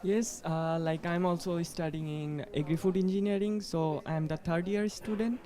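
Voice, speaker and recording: Mellow-voiced young man from Bangladesh. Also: on the high side, fairly even intonation. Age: young adult. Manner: halting, with many fillers. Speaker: student.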